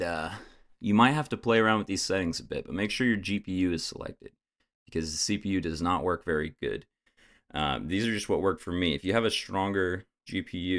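A young man casually guiding viewers step by step through a software setup, with frequent "uh"s. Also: deep voice. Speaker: tech tutorial host. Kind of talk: screen-recorded software tutorial.

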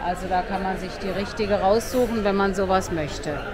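A woman's voice: mid-range voice, normal speed. A woman vlogger chattily narrating a casual walking tour along a seaside promenade, pointing out sights as she goes. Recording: busy crowd noise behind. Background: crowd.

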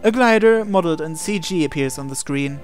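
A man's voice: fairly high pitch, expressive, a little fast. A man reading visual novel narration and dialogue aloud as a gaming video host. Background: game music.

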